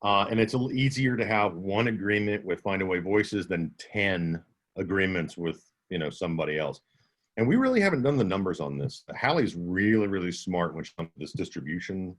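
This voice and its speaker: Man, slight southern twang, lowish voice, conference Q&A, conversational, many restarts, video call. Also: expressive, brisk. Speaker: audiobook narrator and instructor. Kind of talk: conference Q&A answers.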